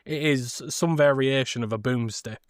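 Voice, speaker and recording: A man with a medium-pitched voice chats as a podcast co-host. He explains things in a measured way, often starting hesitantly. The recording is close and dry. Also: clear, resonant tone, no echo. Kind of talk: casual chat.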